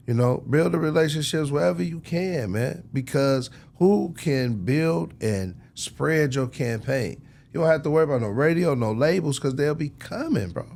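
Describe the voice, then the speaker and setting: A man's voice: fairly high pitch, vocal fry, a little mellow. A man talking casually as a record executive in an interview, emphatic and slangy, repeating phrases for effect. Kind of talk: casual, animated interview talk.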